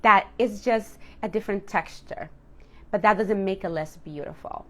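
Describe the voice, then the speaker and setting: A woman in her late thirties speaks straight to her viewers as a coach. Her voice sits at an ordinary middle pitch for a woman. She talks candidly and reflectively, leaning on key words for emphasis in a personal motivational style. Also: normal speed, sharp tone. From Dominican household. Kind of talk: personal motivational talk to camera.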